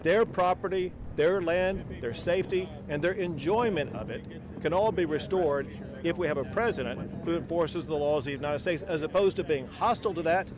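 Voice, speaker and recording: A man speaking as a governor at a press conference, emphatic and forceful, outdoors by a river. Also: a little high. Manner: forceful, emphatic political speech. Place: outdoors by a river border crossing.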